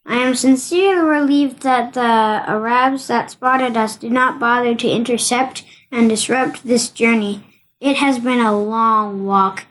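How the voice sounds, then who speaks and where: A woman's voice: fairly high pitch, measured pace, a bit bright, a little hiss or hum, rough recording. A woman playing a weary, sarcastic male monk who grumbles drily in an amateur audio play. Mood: weary, dry.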